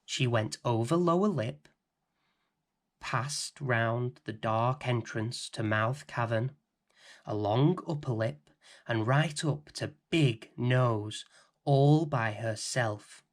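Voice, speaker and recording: A middle-aged man hosting and reading a children's bedtime story in a gentle, expressive way. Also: medium pitch, slow pace. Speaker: storybook reader and host.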